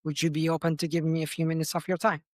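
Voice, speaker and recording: A man's voice: a little high, level tone, rapid-fire, darkish tone, dry recording, clean studio sound. Iranian-accented man, enthusiastic founder guest. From Iran. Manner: enthusiastic, frequent restarts and fillers. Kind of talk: podcast interview.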